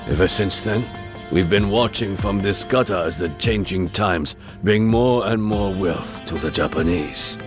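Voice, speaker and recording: An older crime boss from a video game. His voice is very low, formal and weighty, with a courteous surface over quiet menace. Faint music plays behind him. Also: a little slow. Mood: bitter. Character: aging male immigrant crime elder, courteous, bitter, quietly menacing. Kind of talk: video game dub dialogue.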